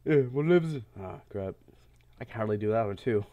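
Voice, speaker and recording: A man casually commentating a game, congested, laughing often and yelling when he fails. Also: fairly low pitch, lively intonation, moderate pace, muffled recording. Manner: laughs often, yells at failures. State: congested, stuffy-sounding. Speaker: gameplay commentator. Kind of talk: casual let's-play commentary.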